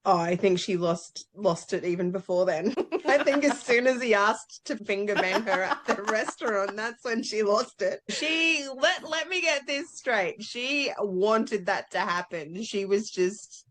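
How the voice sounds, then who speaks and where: A woman's voice: medium pitch, unhurried, hardly any pauses, a bit bright. A woman co-hosting a book club podcast, chatting casually with a playful, cheeky manner.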